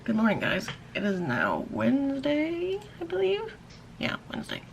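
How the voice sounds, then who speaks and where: Young woman vlogger, raspy and tired, gravelly fry, rambling casual gripes, faint hiss behind. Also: high voice, huge pitch swings, moderate pace. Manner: rambling, grumbling with frequent laughs and swearing. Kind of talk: casual vlog chat.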